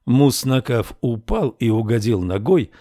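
A male audiobook narrator with a mid-pitched voice. He reads slowly and evenly. The close recording is completely dry. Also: animated, dark tone, no echo. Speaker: audiobook reader. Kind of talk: scripted narration.

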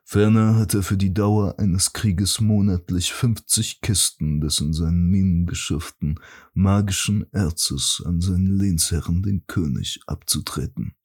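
An actor playing a solemn royal herald who reads an old feudal charter in a stately, measured, declamatory way for an audio drama. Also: male voice, deep voice, darkish tone, slightly rough, exaggerated voice. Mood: solemn, stern. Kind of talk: formal archaic proclamation in an audio drama.

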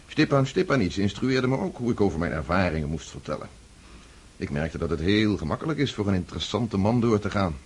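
Pierre, an earnest grieving young count, as a man acts him out in a radio play, with a deep and very husky voice. He talks in a searching, low-key way. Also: darkish tone. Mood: wry.

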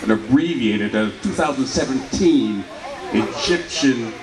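A man's voice: medium pitch, expressive, measured pace, roomy sound, crowd noise, low-quality recording. A man emceeing an outdoor folk festival stage, announcing acts with joking banter.

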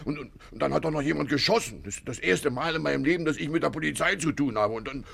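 A man's voice: on the high side, animated, quick pace, creaky, a bit bright, husky, heavily acted. An actor playing a timid, whining old man who stammers and repeats himself in a 1950s German radio play. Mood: agitated, plaintive. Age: older. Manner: stammering, repeating words, plaintive. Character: flustered, whining harmless old grandpa, timid and anxious.

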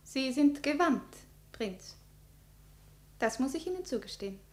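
Haughty, high-voiced young princess. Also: female voice, lively intonation, measured pace. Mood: haughty, piqued. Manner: haughty, formal, challenging. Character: proud, imperious young Chinese princess, deadly debater. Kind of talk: 1950s German radio drama acting. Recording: roomy 1950s radio studio recording.

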